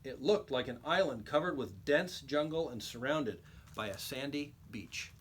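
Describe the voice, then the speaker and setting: A man reading a children's storybook aloud like a teacher, with expressive, emphatic storytelling. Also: mid-range voice, a little slow, slight background noise.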